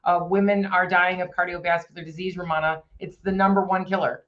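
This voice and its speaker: A woman's voice: fairly low pitch, flat delivery, a little fast, lo-fi sound. A woman chatting earnestly about a fundraiser she leads, often restarting her sentences. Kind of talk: casual chat, promoting a fundraiser.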